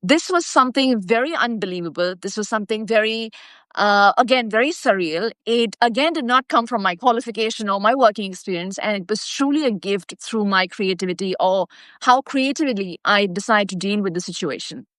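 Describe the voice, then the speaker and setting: A woman's voice: mid-range voice, lively intonation, fairly quick, fairly bright tone, no room sound. Indian-accented woman, emphatic, in a dry-sounding space. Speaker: host of a live stream, artist and educator. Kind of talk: personal storytelling talk to viewers.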